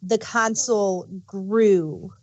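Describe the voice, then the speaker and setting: A woman's voice: mid-range voice, drawn-out words, darkish tone, lo-fi sound. Woman casually explaining, hesitant and self-correcting. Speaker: podcast co-host. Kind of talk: casual fan discussion.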